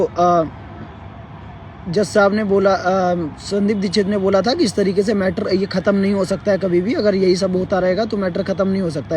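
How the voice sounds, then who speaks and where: A man with a sore, hoarse throat hosting a casual live update, halting with fillers and clearing his throat. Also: high voice, darkish tone, a little hiss or hum. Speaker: live stream host. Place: sitting outdoors near a court.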